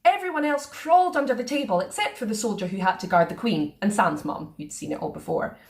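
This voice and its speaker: A woman reading a children's picture book aloud in a playful, expressive way. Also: medium pitch, fast talker, creaky. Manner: expressive, sing-song. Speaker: storyteller.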